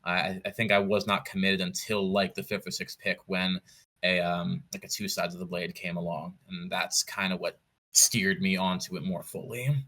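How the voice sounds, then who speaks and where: A man being interviewed in a casual storytelling style, picking his words haltingly and correcting himself as he explains details with keen interest. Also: sits low, level tone, bright, forceful tone. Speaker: podcast guest, competitive card game player.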